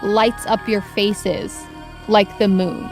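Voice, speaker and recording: A woman's voice: medium pitch, little pitch movement, slow pace, a little mellow, soft background music, rough recording. A woman running a tabletop game as game master, improvising narration and descriptions for her players.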